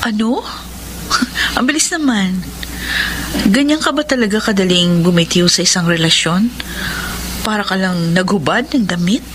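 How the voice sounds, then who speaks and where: An actress playing a patient, principled young woman with traditional values in a radio drama, speaking in a measured, gently instructive way. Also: medium pitch, noisy background, natural voice. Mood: surprised, probing. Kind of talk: Filipino radio drama acting. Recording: radio drama with loud music bed. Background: loud music.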